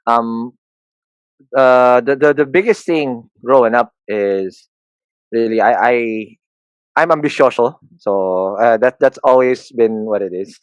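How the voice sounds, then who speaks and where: A man's voice: mid-range voice, slow, clear, resonant tone, no room sound, low-quality recording. A man in his thirties with a Filipino accent, a podcast guest talking candidly and joking about himself. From Philippines. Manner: self-deprecating, joking, frequent restarts. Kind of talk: candid conversational interview.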